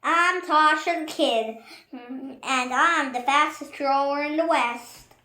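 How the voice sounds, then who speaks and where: Confident cowgirl challenger, acted by a woman: high, bold storybook delivery in a roomy space. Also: slow, bright, forceful tone, heavily acted. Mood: cocky. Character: confident young female gunslinger challenger in storybook Western. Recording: echoey room.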